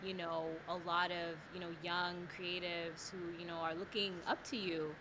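A woman's voice: fairly low pitch, flat delivery, moderate pace, noisy background. A woman who grew up in the States, interviewing a guest in a chatty way, full of fillers. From United States. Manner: chatty, many fillers like "you know". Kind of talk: casual interview chat.

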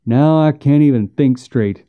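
A man's voice: mid-range voice, a little slow, narrow, tinny audio, close to an everyday voice. A man voicing an irritable, superstitious rural backwoods man in an audiobook, folksy and grunting. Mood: uneasy, insistent. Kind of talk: audiobook character voice.

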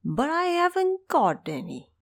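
Elderly dragon king played by a woman, nervous, deferential and placating, with a very changeable pitch and dry sound, for fantasy audio drama. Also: huge pitch swings, measured pace, dry recording, exaggerated voice. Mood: apologetic. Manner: pleading, hesitant, deferential. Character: anxious old male dragon king, placating and courteous.

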